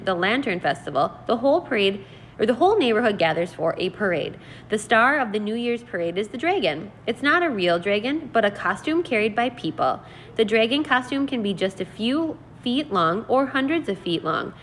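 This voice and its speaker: A woman teacher reading aloud and explaining in an expressive, deliberate, instructional way. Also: fairly high pitch, a little hiss or hum. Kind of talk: read-aloud lesson, narrating and explaining vocabulary.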